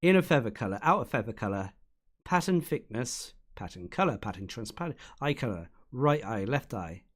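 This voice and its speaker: Hoarse man chatting over a stream. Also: medium pitch, very animated, normal speed. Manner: rambling, chatty, with filler words and laughs. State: losing his voice. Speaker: streamer co-streaming a game showcase. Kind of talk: casual live commentary. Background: showcase music playing.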